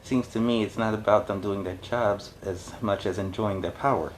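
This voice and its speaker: A man's voice: fairly low pitch, steady tone, normal speed, a little hiss or hum, low-quality recording. A man reading a storybook aloud as host, adding conversational, explanatory asides in a casual style.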